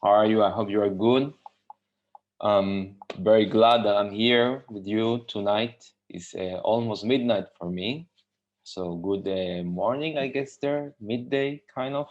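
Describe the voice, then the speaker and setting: A man's voice: lowish voice, unhurried, a little hiss or hum. A man from Mexico, a UX designer, teaching a live webinar tutorial with many fillers and restarts. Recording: dull lo-fi webinar audio.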